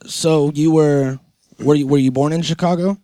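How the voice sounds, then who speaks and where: A man's voice: a little high, steady tone, moderate pace, dark tone, no room sound, clean studio sound. A man hosting a podcast, chatting in a rambling, slangy and enthusiastic way. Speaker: podcast host. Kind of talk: casual podcast interview chat.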